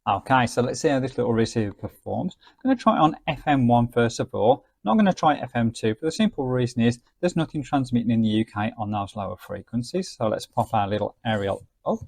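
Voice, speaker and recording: Man reviewing gadgets, slightly husky, dry sound. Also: medium pitch, a little fast, few pauses, darkish tone, no echo. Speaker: radio gadget reviewer, host. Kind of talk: casual product review narration.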